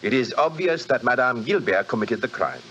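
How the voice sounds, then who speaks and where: An actor playing a blunt, stubborn police inspector in a 1940s radio drama. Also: male voice, mid-range voice, expressive, a little fast, a bit bright, lightly acted. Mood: insistent. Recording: dull old radio broadcast with faint hiss.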